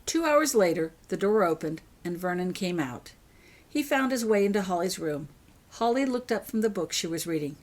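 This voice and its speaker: A woman with a slightly low voice reads her own fiction aloud. The delivery is scripted story narration. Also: lively intonation, moderate pace. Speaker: author reading own story.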